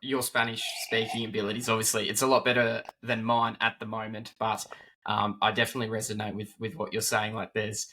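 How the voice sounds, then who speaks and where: A man hosting a casual conversation, relaxed and full of hedging words. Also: lowish voice, brisk, slight background noise, rough recording. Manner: relaxed, sprinkled with hedges like "sort of". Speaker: podcast host.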